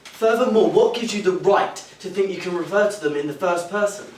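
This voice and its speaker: A stern man acting a pompous boss with a slightly high voice, scolding in clipped, imperious phrases. It is a stage drama captured from a distance with plenty of echo. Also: a little fast, close to an everyday voice. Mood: indignant. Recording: distant, echoey stage recording, faint noise.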